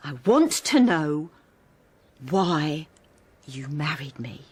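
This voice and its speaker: A wistful, breathy English wife. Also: female voice, low voice, big pitch swings, a little mellow, no echo, exaggerated voice. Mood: wistful, insistent. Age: middle-aged. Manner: halting, repeats phrases, often drops to a whisper. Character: weary long-married wife newly wistful and quietly defiant. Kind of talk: radio drama acting, intimate dialogue and narration.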